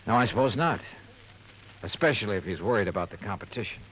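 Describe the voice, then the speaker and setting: A man voices a wry hard-boiled insurance investigator in a 1950s radio mystery. He needles people with dry quips and a lively, roaming pitch. The old broadcast sounds dull and hissy. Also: fast talker, lightly acted. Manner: dry, wisecracking. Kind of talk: 1950s radio drama acting.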